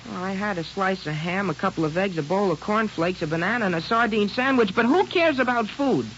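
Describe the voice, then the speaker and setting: An impetuous, poetry-struck teenage boy with swooping, lively intonation. He is eager and dramatic in a radio play, heard on a dull, hissy old recording. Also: high-pitched, a little fast. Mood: exasperated.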